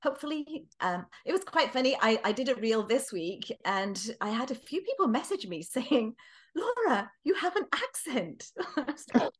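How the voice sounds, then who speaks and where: A woman's voice: sits high, sing-song, moderate pace. A middle-aged woman with a British accent, a guest author chatting on a podcast interview, laughing often and searching for words.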